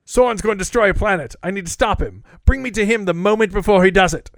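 A man's voice: high voice, lively intonation, fast talker, no echo. A man putting on a stern male starship captain, giving clipped, urgent commands.

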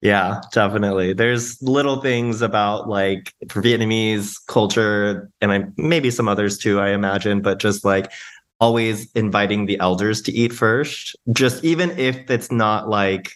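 A man co-hosting a podcast, asking questions in a relaxed, easygoing way. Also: on the low side, unhurried. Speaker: podcast co-host. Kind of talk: casual interview conversation.

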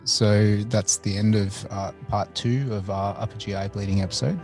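A man closes out a podcast episode, previewing the next part. His voice is low and mellow, with faint music playing underneath. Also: fairly even intonation, moderate pace. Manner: wrapping up an episode. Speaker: podcast host.

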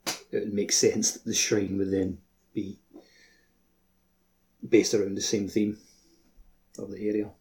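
A man with a dark, relaxed voice, fairly flat in its intonation. He chats informally as a podcast co-host, peppering his sentences with fillers. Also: fairly low pitch, unhurried, long pauses, low-quality recording. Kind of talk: casual gaming podcast discussion.

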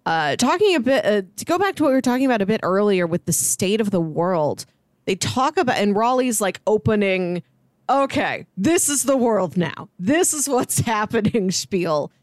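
A woman's voice: mid-range voice, big pitch swings, moderate pace, no echo. A woman with a mid-range voice chats about films as a podcast co-host. Her delivery is casual and conversational, and the sound is dry, with very little room in it. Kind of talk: casual film discussion.

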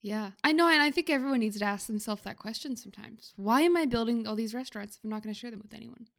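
A woman's voice: a little high, quick pace, vocal fry. A woman hosting a comedy podcast, chatting casually and jokingly. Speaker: podcast host and performer. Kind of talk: casual comic podcast chat.

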